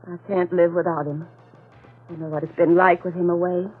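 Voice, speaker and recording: Woman acting a husky, devoted radio drama heroine. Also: fairly low pitch, little pitch movement, a little fast, close to an everyday voice. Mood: firm, defiant. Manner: theatrical, earnest. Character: devoted, resolute woman in love with a madman. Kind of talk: old-time radio horror acting. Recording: tinny old radio recording with music.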